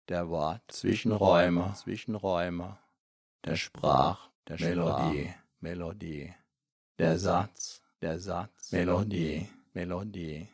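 A man guiding a self-confidence hypnosis session, speaking slowly and softly with long pauses and repeated words. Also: sits low, creaky, husky, no echo, studio quality.

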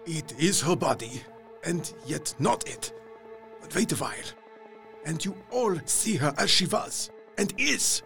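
Older male professor and vampire hunter: solemn, fatherly, high-ish creaky voice with wide swings, gothic drama. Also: sing-song, a little slow, a bit bright, soft background music, full character voice. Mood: solemn, explanatory.

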